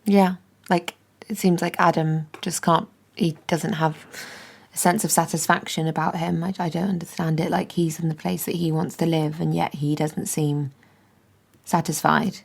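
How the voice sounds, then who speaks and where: A woman plays an exasperated, critical woman in an audio drama. Her voice is low and very creaky, flat in melody and conversational in manner. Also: normal speed. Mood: baffled frustration. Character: baffled, critical woman talking in counselling. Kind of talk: naturalistic audio drama dialogue.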